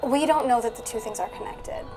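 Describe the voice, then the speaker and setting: High-voiced woman playing tabletop roleplay. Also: a little fast, over music. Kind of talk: in-character game chat.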